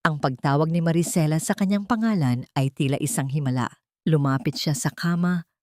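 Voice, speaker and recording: A woman's voice: low voice, a little fast, darkish tone, no room sound, studio quality. A woman reading a scripted dramatic story as its narrator.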